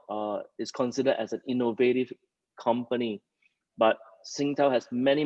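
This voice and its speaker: A man presenting informally at a company meeting, pausing often mid-sentence as he speaks. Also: mid-range voice, dry recording. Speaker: company leader hosting a staff townhall. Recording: dull, muffled lo-fi audio.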